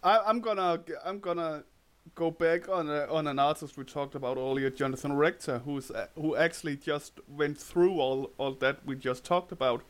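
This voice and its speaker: Tired Danish-accented man, halting. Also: fairly high pitch, fairly even intonation. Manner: halting, repeats words while searching for phrasing. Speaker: podcast co-host. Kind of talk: casual podcast chat.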